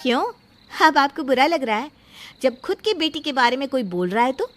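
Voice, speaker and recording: High, bright, defiant young bride-to-be played by a woman. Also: lively intonation, moderate pace, soft background music. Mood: angry, accusing. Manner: firm, indignant, emphatic. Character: self-respecting young bride-to-be, defiant and outspoken. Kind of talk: dramatised Hindi moral story acting.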